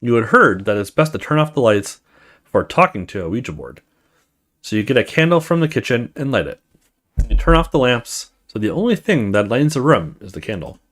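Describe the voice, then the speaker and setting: Lively-pitched man narrating a horror game. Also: a little fast. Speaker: let's play host. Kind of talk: reading a horror visual novel aloud with casual asides.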